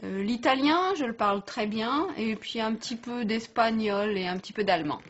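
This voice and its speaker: Husky-voiced easygoing French woman. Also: a little high, normal speed, lo-fi sound. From France. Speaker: interviewee, mother and language teacher. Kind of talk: casual interview chat.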